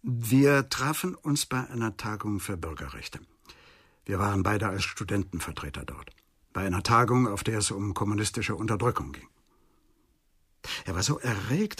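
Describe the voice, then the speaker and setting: Weary middle-aged lawyer, husky, thoughtful and halting, for a radio crime play. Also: male voice, medium pitch, a bit bright. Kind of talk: German radio crime drama acting.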